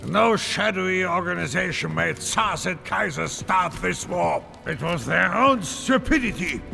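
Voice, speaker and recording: An actor playing a bitter, defiant, war-weary career soldier in a game cutscene, slow and scornful, with blunt delivery. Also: male voice, sits high, animated, creaky, pushed and bright, heavily acted. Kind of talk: dramatic game cutscene acting. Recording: dramatic music behind the voice.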